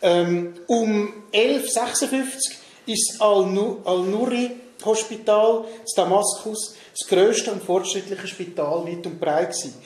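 A man's voice: high-pitched, a little slow, darkish tone. A man in his thirties with a Swiss German accent, presenting a prepared talk at a discussion evening, often stumbling over names with ums and restarts. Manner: reads from notes with frequent um and restarts on names. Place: meeting room in a large hall, seated at a table. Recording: roomy hall with faint noise.

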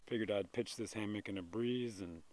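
A man in his thirties chats easily into a handheld camera out in a summer forest. His voice sits fairly low and his talk wanders, full of fillers and restarts. Faint outdoor ambience stays underneath. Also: moderate pace, slight background noise, rough recording. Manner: relaxed, rambling, with fillers and restarts. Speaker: outdoors vlogger. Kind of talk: casual vlog to camera. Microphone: handheld camera at arm's length.

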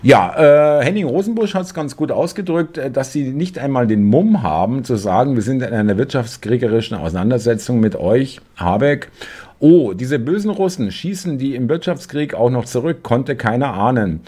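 A man's voice: mid-range voice, lively intonation, moderate pace, warm tone, slightly rough. A man in his forties giving sarcastic political commentary while reading news articles aloud from his desk, full of hesitations.

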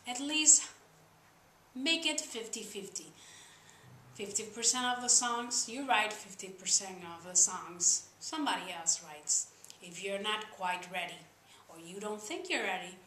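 A young woman with a Spanish accent chats straight to her camera in earnest, slightly uneven English. Her voice is bright and forward. The sound is roomy, with a faint hum behind her in a small room. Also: medium pitch, unhurried. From Spain. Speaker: singer-songwriter vlogger. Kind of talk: casual talking-to-camera vlog.

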